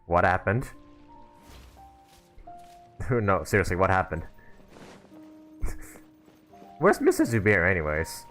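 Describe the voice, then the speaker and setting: Low-voiced, animated man streaming a game. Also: slow pace, long pauses, soft background music. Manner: joking, laughs often. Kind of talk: casual live gameplay commentary.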